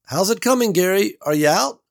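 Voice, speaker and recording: An exasperated but patient woman, as a male narrator puts her on, with a raised, lively voice that swoops up sharply on questions. She keeps her tone encouraging while her frustration shows through. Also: fairly high pitch, moderate pace, pitched above the performer's own voice.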